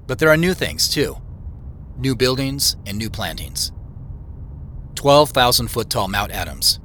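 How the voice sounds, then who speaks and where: An actor playing a thoughtful, nervous man, narrating an audio drama in the first person in a measured, intimate way. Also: fairly low pitch, pushed and bright, slight background noise. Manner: measured, reflective, intimate storytelling. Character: Joseph, thoughtful, nervous man retracing family memories. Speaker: narrator and lead character. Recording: music under the voice.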